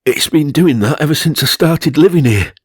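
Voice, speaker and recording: A man's voice: expressive, moderate pace, husky, dry recording, studio quality. A man voicing a frightened, apologetic woman living in a haunted house in a comic story, plaintive and hesitant. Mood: fearful, plaintive. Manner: plaintive, hesitant, high put-on voice.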